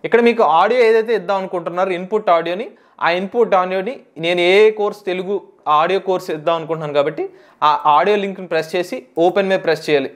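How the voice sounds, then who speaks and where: Man, Telugu accent, high voice, quick delivery, tutorial host, English tech words mixed in. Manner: instructional, mixes English tech words into speech. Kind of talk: step-by-step software tutorial narration.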